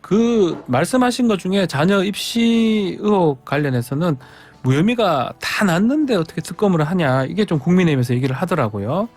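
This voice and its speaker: A man hosting a radio interview, asking quick follow-up questions and answering with frequent short acknowledgments. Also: high voice, animated, a little mellow. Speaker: radio host, lawyer.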